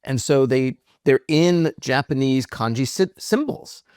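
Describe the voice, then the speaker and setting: A man's voice: medium pitch, lively intonation, unhurried, a little mellow, clear, resonant tone, no echo, studio quality. A man guest speaking on a podcast, explaining ideas thoughtfully with frequent "um" and "right?". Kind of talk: reflective interview talk.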